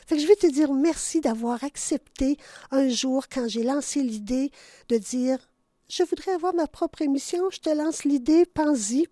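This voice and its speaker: A woman's voice: high voice, warm tone, no room sound. An older woman from Ontario with a Canadian French accent, hosting a radio show in a studio, effusive and affectionate, laughing often. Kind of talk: casual radio chat.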